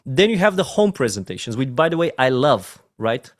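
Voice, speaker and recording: A man's voice: medium pitch, very animated, normal speed. A man with a Romanian accent, a network marketing trainer giving a training talk in an emphatic way, often checking in with his listeners. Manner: emphatic, often asks "right?" and "my friend".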